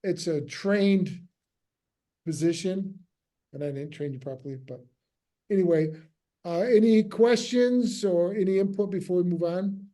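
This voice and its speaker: A man leading a script table read, giving casual notes in a halting, hesitant way that often trails off. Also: high-pitched, dark tone.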